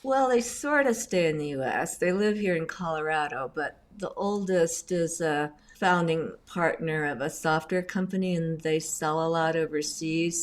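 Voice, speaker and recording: A middle-aged woman, raised in Indonesia, telling chatty stories with lively asides as a guest in an interview. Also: low voice. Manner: chatty, animated storyteller with asides. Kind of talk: casual storytelling interview.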